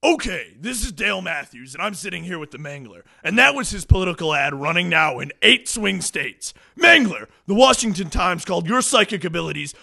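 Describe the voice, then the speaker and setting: A man's voice: high-pitched, big pitch swings, a little fast, creaky, hardly any pauses, bright, forceful tone, soft background music, exaggerated voice. A man playing a combative, hot-headed news show host in a comedy, with an indignant, theatrical delivery. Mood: brisk. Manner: indignant, theatrical, easily exasperated. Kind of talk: parody TV news interview.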